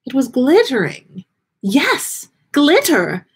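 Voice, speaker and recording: A woman voicing a dreamy, melodramatic female fortune-teller from a story, easily flustered, with halting exclamations and trailing pauses. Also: sits high, wildly swooping pitch, voice pushed up, full character voice. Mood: entranced, confused.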